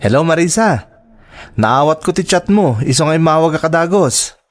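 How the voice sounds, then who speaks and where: Give the part of a young man in an audio drama, played by a male actor. His voice sits a little high. He is devoted and generous, speaking earnestly to the people he cares for. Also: expressive, normal speed, lightly acted. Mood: caring. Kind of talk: radio drama acting in Ilocano.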